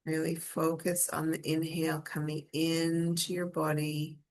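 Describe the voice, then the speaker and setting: A woman leading a guided meditation in a soothing, gentle and inviting manner. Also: deep voice, monotone, unhurried.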